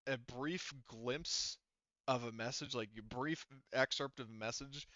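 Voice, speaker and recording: A man running a tabletop game as game master, narrating casually and haltingly, often circling back to rephrase. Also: mid-range voice, bright, forceful tone, dry recording, muffled recording.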